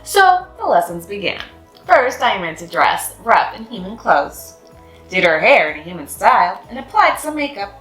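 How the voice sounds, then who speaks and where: Expressive woman reading a storybook aloud. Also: mid-range voice, moderate pace. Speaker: children's book reader. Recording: echoey room with faint music.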